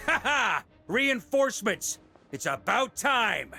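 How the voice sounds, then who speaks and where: A man's voice: extremely high pitch, sing-song, takes it slowly, vocal fry, over music, exaggerated voice. A man voicing a hearty, confident battlefield commander in a video game, bold and boisterous. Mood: hearty, challenging. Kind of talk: scripted video game voice acting.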